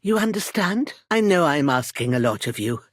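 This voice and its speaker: A woman's voice: deep voice, very animated, moderate pace, dry recording, studio quality. An actress playing a worried old village herbwoman in a video game, protective and proud, with a plain-spoken rustic manner. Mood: worried, pleading. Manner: rustic, plain-spoken, folksy turns of phrase. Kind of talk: scripted video game character dialogue.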